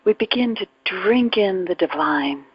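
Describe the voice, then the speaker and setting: A woman guides a spiritual meditation at a very slow pace, leaving long pauses between phrases. Her voice is breathy and soft-edged, sometimes sinking toward a whisper. The recording is dry and close, but dull and muffled. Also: medium pitch, animated, no echo. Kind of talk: guided meditation, reflective spiritual talk with readings.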